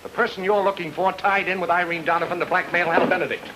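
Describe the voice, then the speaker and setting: A man's voice: high voice, steady tone, quick pace, natural voice. A man playing a hard-boiled, sardonic private detective in an old-time radio noir, with a wry, clipped way of explaining things. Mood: confident explaining. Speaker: actor in a radio detective drama. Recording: noisy old radio recording.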